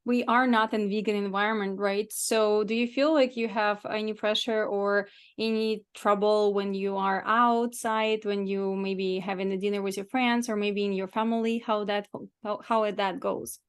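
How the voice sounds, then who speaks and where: A woman's voice: mid-range voice, level tone, normal speed, hardly any pauses, clear, resonant tone. A woman hosting a casual interview, chatting in an effusive, complimentary way with her guest.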